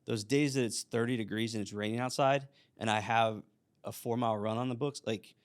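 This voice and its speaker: A man's voice: medium pitch, fairly even intonation, brisk. A man co-hosting a church podcast, easygoing and self-deprecating in casual chat. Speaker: podcast co-host.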